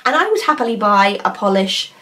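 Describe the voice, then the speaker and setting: A woman's voice: mid-range voice, sing-song, fairly quick, bright, forceful tone. A woman, chatting casually and enthusiastically to camera with lots of hesitations and asides. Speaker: nail polish vlogger.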